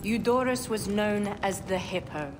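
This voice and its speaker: A woman's voice: mid-range voice, a little slow, noisy background, lightly acted. An actress playing a regal, assured queen of ancient Egypt in a video game drama, speaking formally. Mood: commanding. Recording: loud music underneath.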